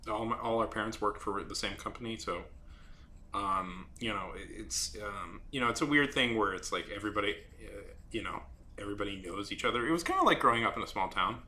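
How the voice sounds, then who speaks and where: A young man chatting casually on a live stream while drawing, rambling and halting with many fillers and restarts. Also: lowish voice, sharp tone, a little hiss or hum. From Saudi Arabia.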